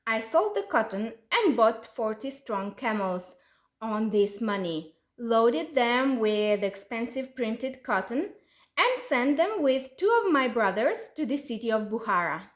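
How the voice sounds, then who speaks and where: A woman with an Uzbek accent voicing a bold, clever young village girl in a storybook folk tale, assertive and animated. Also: fairly high pitch, unhurried. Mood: mock-earnest. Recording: roomy, echoey sound.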